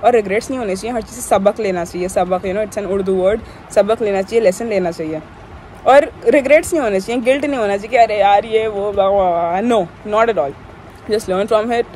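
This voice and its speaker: A woman's voice: medium pitch, moderate pace, mellow, a little hiss or hum. A twenty-one-year-old woman vlogging in a casual, rambling, opinionated way, switching back and forth between languages. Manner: rambling, opinionated, code-switching, often says "yaar" and "theek hai". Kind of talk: casual talking-head vlog.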